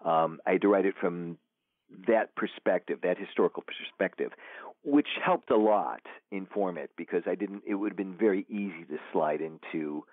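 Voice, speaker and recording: A man being interviewed as an author, talking in a halting way with many fillers and restarts. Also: low-pitched, dry recording. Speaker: author guest. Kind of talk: remote interview answers. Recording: tinny phone line.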